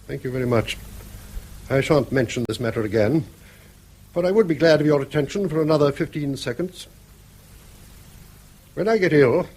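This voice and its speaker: A cantankerous old English father in a mid-century radio play. His voice is husky and pitched a little high, with lively swoops. He is dry, interfering and bluntly sardonic. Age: older. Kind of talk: mid-century British radio drama acting.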